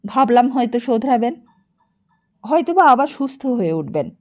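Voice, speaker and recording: Sanctimonious, ingratiating gentleman, voiced by a woman. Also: a little high, sing-song, normal speed. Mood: aggrieved, moralising. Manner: ingratiating, moralising. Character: smooth-talking, sanctimonious, meddling gentleman.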